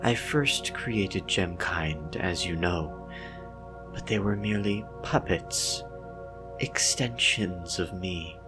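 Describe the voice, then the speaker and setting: A man voicing an ancient female cosmic deity in a horror story, bored and sweetly menacing, with drawn-out, condescendingly tender delivery. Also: sits low, over music. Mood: bored, musing. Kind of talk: dramatic horror story reading.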